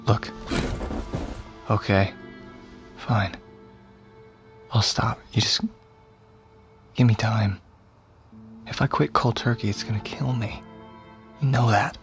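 An actor playing a weary, stubborn young heroin addict in an audio drama, slack and fatalistic, with a gravelly voice. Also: male voice, fairly low pitch, steady tone, slow, pauses often, faint music behind. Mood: pleading. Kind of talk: naturalistic audio drama acting.

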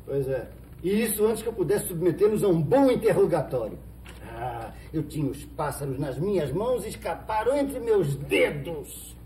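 A Brazilian man playing a gruff, sardonic small-town police chief in a 1970s telenovela. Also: a little high, sing-song, normal speed, dark tone. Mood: exasperated. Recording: noisy old 1970s TV soundtrack.

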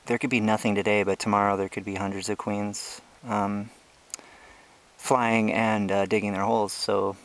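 A young man casually narrating a hiking vlog outdoors on a trail, explaining things in a relaxed, chatty way with many fillers, recorded on the handheld camera he films with. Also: fairly low pitch, normal speed, rough recording. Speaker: ant-keeping channel host. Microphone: handheld camera he is filming with.

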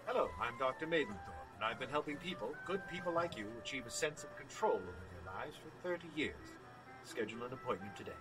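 An actor playing a reassuring male self-help doctor making an advert pitch. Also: big pitch swings, fast, over music, lightly acted, rough recording. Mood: salesy, reassuring. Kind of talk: advert pitch in audio drama.

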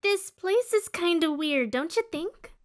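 A woman's voice: very high-pitched, animated, a little slow. A woman playing a vain, dramatic, sassy teenage older sister in an audio drama. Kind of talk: fan audio drama acting.

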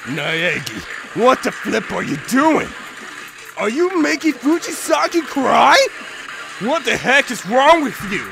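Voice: mid-range voice, sing-song, slow pace, gravelly, music in the background, exaggerated voice. A let's player voicing a hot-headed teenage male delinquent from a visual novel, barking confrontational threats and childish swears. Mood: angry, threatening.

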